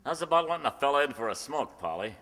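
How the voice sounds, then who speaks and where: A man's voice: mid-range voice, very animated, fast, fairly bright tone, faint music behind. A man acting a brash, pushy young suitor in a radio comedy play, slangy and wheedling. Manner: slangy, wheedling, stammers when thrown. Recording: echoey hall, faint noise.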